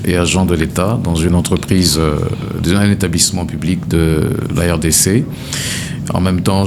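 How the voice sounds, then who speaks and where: Deep gravelly man, slow formal answers. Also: noisy background. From DR Congo, Kasai. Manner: measured, formal, many hesitations with "euh". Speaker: gubernatorial candidate. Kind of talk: TV interview answers.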